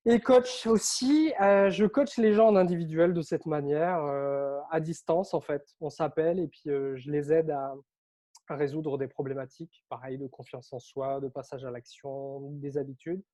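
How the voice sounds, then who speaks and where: A man who grew up on Réunion Island, telling his story as an interview guest in a lively, chatty way with frequent fillers. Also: on the high side, moderate pace. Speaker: interview guest, author and coach.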